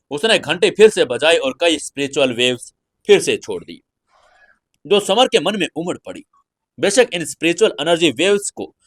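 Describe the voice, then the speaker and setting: An Indian man narrates a fantasy adventure in a slightly high male voice. His delivery is theatrical and deliberate, like a dramatic audio story. The recording is dry and close, with very little room sound. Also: fairly bright tone, no room sound.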